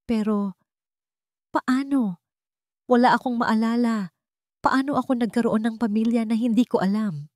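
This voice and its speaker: Halting, bewildered rich man voiced by a woman. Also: on the high side, darkish tone, dry recording, clean studio sound, voice pushed up, lightly acted. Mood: feigned shock. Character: wealthy man feigning amnesia, confused and shaken.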